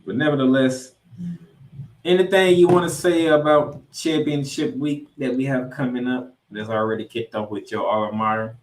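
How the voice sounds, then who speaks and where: A man's voice: mid-range voice, normal speed. An American man in an echoey room hosts a sports show. He talks through football matchups in an emphatic, colloquial way, with a mid-range voice. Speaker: sports podcast host. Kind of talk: animated football recap and preview. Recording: roomy, echoing sound.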